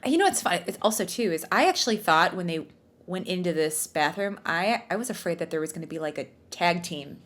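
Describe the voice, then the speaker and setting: A woman's voice: lowish voice, animated, brisk, fairly bright tone. A woman co-hosting a podcast in casual, comedic chat with a playful, bawdy way of joking. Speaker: podcast co-host.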